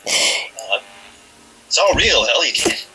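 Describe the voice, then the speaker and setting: An actor playing an alien thing posing as a dead husband, coaxing in a halting, folksy, rambling way that repeats itself, in a horror drama. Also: male voice, mid-range voice, big pitch swings, distant mic, noisy background. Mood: rambling, insistent.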